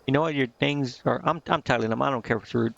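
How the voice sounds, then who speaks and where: A man with a creaky, fry-laden voice streams a game, reading dialogue aloud and reacting offhand in slangy, informal language. His pitch sits in an ordinary male range. The sound is slightly dull and lo-fi. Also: fast, fairly bright tone, a little hiss or hum.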